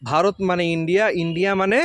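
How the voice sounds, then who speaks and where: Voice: mid-range voice, fairly even intonation, fast talker, no echo. A quiz host, informally questioning children and patiently explaining and repeating the answers.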